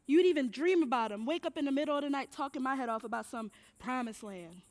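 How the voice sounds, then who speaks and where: A woman's voice: sits high, fast, darkish tone, lightly acted. A woman playing a firm, hopeful enslaved mother in a stage drama, coaxing and admonishing in folksy period speech. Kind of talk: stage play acting. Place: indoor theatre stage.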